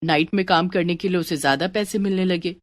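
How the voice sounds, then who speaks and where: A woman's voice: lowish voice, fairly even intonation, brisk, no echo. Woman narrating a story, dry sound.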